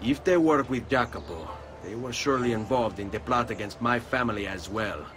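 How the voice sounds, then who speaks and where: An actor voicing a determined young Italian assassin in a video game, with an Italian accent and a formal, resolute way of speaking. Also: male voice, medium pitch, fairly quick, noisy background, lightly acted. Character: determined young Italian male assassin avenging his family. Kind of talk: video game cutscene acting.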